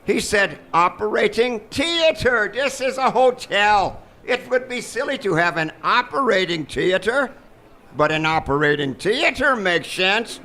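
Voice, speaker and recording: A man playing a suave, ingratiating doctor and hotel owner in a live radio comedy, smooth-talking and emphatic. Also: high-pitched, animated, measured pace, sharp tone, full character voice. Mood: brisk, evasive. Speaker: actor.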